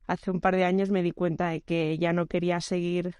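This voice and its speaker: A woman with a smooth, clear voice chats as a podcast guest. She is easygoing and feels for her words as she talks. The recording is close and dry. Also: on the low side, little pitch movement, normal speed, a little mellow, dry recording. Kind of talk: casual conversational interview.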